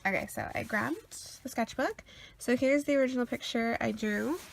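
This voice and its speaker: A woman's voice: a little high, moderate pace, vocal fry, slight background noise. A sniffly woman rambling casually with lots of ums and uhs as she shows her sketchbook. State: sniffly after a cold. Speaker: artist showing her sketchbook.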